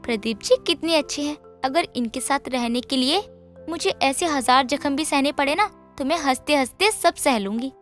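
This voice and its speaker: A woman's voice: sits high, normal speed, a bit bright, soft background music. A woman voicing a meek, devoted young daughter-in-law in a moral story, speaking politely and deferentially. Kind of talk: Hindi moral story voice acting.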